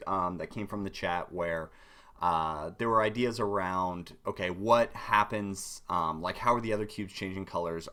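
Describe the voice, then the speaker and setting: A man with a hoarse voice from being sick, hosting a casual live-stream game development tutorial and rambling with many ums and restarts. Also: lowish voice, a bit bright. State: hoarse from illness.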